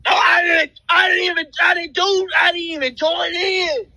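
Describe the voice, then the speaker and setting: A man's voice: very high-pitched, little pitch movement, moderate pace, gravelly, sharp tone, no echo, shouting. A young American man crying and pleading in a whiny, upset way into a phone held close. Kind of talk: emotional pleading on a phone call.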